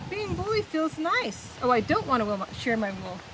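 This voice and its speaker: A woman's voice: high-pitched, big pitch swings, moderate pace, background noise. A woman voicing a cheerful, headstrong young male sheep in a storybook, eager and blithely unbothered. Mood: eager, proud. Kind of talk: storybook character voice.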